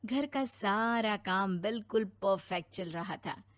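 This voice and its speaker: A woman's voice: mid-range voice, slow, dry recording. A woman giving scripted narration of a moral story.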